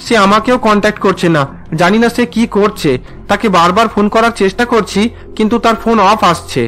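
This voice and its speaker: A man's voice: sits high, quick pace, fairly bright tone, faint music behind. A man voicing an earnest, concerned young man in a cartoon family drama. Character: earnest young men: husband, student, dutiful son.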